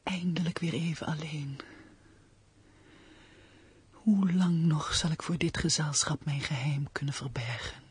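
A woman's voice: mid-range voice, big pitch swings, a little fast, breathy edge. A woman playing a composed, firm, tactful young companion in a radio play, practical and controlled. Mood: secretive, anxious.

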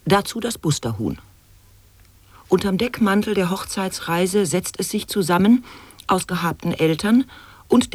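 An actor in a radio play voicing an eccentric declaimer of absurd wordplay and rhymes in theatrical, deliberate German stage delivery. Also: mid-range voice, no echo. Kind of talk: avant-garde radio drama monologue, sound poetry.

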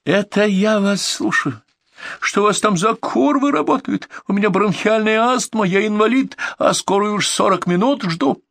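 A woman's voice: lowish voice, normal speed, vocal fry, dry recording, studio quality, pitched above the performer's own voice. A man voicing a furious, abusive woman caller with asthma who screams down the phone at an ambulance dispatcher. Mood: shrieking.

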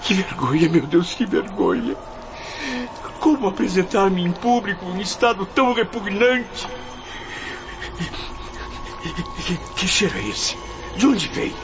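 A man's voice: high voice, big pitch swings, normal speed. An actor playing a blind, wounded man who has taken his own life, frightened and desperate, in a radio drama. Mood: fearful. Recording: dull recording with loud noise behind.

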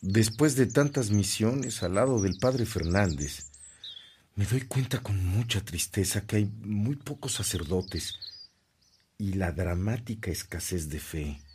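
Slow-spoken, gentle priest. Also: male voice, on the low side, a little hiss or hum. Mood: earnest, resolute. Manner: gentle, measured, earnest. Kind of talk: radio drama acting.

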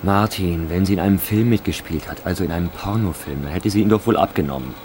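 An actor playing the investigator's sardonic friend, who makes wry, dry remarks. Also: male voice, sits low, fast talker, darkish tone, slight background noise, close to an everyday voice.

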